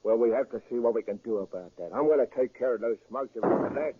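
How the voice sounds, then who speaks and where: A man's voice: mid-range voice, fast talker, slightly rough, natural voice. An actor playing a hard-boiled, coolly menacing gangster henchman in a 1930s radio drama, with casual slang. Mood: scheming. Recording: thin phone-like 1930s radio recording.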